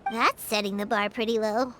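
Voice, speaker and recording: A woman voicing a tiny childlike sprite companion in a video game, cheeky and curious, with a squeaky voice and blunt, exaggerated reactions. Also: fairly even intonation, normal speed, lightly acted. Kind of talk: video game character acting. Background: background music.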